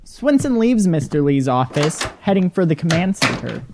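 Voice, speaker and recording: A man's voice: a little high, very animated, moderate pace, vocal fry, a little mellow, a little hiss or hum. A man reading scripted dramatic narration as the narrator of an audio drama.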